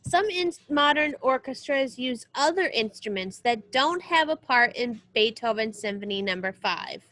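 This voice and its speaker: High-voiced woman reading aloud as teacher. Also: animated, normal speed, bright, forceful tone, rough recording. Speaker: music teacher reading a children's book aloud. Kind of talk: read-aloud lesson with short spoken asides.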